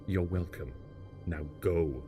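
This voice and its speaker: Loyal gruff mentor, male actor: very deep voice, terse understated lines, audio drama, prominent music. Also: takes it slowly. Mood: tender, resigned. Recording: music behind the voice.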